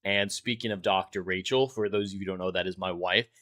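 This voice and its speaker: Deep, bright-voiced male livestream host. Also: fairly even intonation, fast. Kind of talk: livestream monologue, chatting with viewers.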